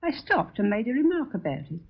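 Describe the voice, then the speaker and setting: Husky middle-aged British woman, old radio. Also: fairly high pitch, sing-song, a little fast. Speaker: mother giving a personal radio talk. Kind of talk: 1940s radio scripted personal talk. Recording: dull lo-fi 1940 radio recording.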